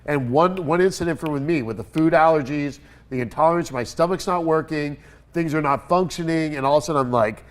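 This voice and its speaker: A congested man hosts a casual studio chat, his voice sitting a little high for a man. He tells stories with bursts of energy and laughs along the way. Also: fairly quick. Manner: energetic storyteller, animated, laughing while telling stories. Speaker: podcast host.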